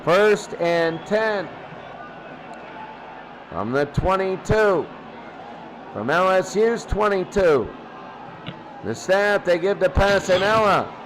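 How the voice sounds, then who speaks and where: High-pitched man calling football play-by-play. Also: lively intonation, slow, pauses often, fairly bright tone, slight background noise, rough recording. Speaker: play-by-play commentator for a video game football stream. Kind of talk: sports play-by-play commentary.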